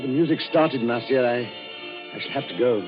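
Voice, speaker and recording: A man's voice: mid-range voice, moderate pace, lightly acted. An actor playing a formal, solicitous but uneasy businessman husband in 1940s radio style. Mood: reassuring. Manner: formal, reassuring. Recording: muffled vintage radio recording with music.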